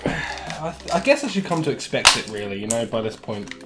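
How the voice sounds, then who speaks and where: Guy in his 30s doing a casual jokey review vid, super animated pitch, music behind. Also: normal speed. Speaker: vlogger reviewing novelty products. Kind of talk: casual product review to camera. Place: small room at home, indoors.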